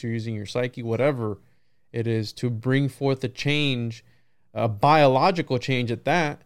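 A man with a clear, smooth voice talks in a loose, conversational podcast style. His delivery is rambling and reflective, with frequent little check-ins as he works through his thoughts. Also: mid-range voice. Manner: rambling, musing, peppered with right and you know. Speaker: podcast host. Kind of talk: casual podcast conversation on esoteric ideas.

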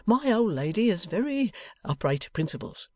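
A man voicing a meek, humbled older husband in a storybook, speaking in a slow, sad, gently pleading manner. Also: high voice, big pitch swings, dry recording, pitched above the performer's own voice. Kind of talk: dramatised audiobook character voice.

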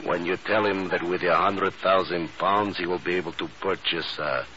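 A cold, menacing Egyptian man with a knife in an old radio thriller. His voice is very low and he speaks in slow, formal threats. The recording is muffled, with clear noise behind it. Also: steady tone, bright, forceful tone. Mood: cold menace. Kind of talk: 1950s radio drama acting.

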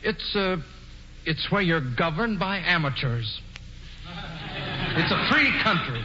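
A defiant young rebel. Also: male voice, high voice, very animated, takes it slowly, full character voice. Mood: earnest. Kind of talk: 1940s radio drama acting. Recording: dull, roomy vintage radio broadcast with noise.

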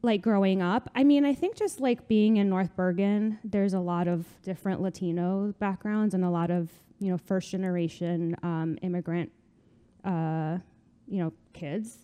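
A young woman from New Jersey, talking casually as a guest in an interview, chatty and quick to laugh. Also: fairly low pitch, steady tone, mellow, smooth. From New Jersey, USA. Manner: chatty, laughs often, many fillers. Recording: long room reverb.

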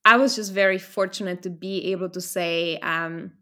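A woman's voice: lowish voice, fairly even intonation, normal speed, sharp tone. A woman in her thirties from the Czech Republic, a guest in a casual interview, telling stories thoughtfully with some restarts. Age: 30s.

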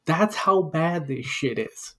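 A man's voice: a little high, expressive, takes it slowly. A man co-hosting a podcast, arguing in a casual comedic debate with impassioned, profane ranting. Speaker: podcast co-host.

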